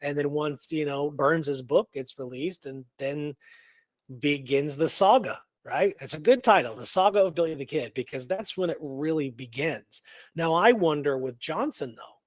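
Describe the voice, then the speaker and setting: A man hosting a talk show, discussing history in an animated, informal, conversational way. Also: on the high side, moderate pace, no room sound. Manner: animated, informal, peppers talk with "you know what I mean". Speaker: show host. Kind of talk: casual history discussion and storytelling.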